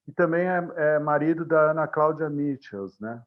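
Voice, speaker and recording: A man's voice: a little high, normal speed, phone-quality sound. A man with a Brazilian Portuguese accent hosting an interview, asking rambling questions full of restarts. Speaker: interview host. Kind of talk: casual interview talk.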